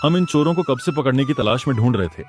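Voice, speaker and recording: A man's voice: medium pitch, animated, fast, music in the background, natural voice. A man playing a police inspector in a cartoon moral story. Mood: appreciative.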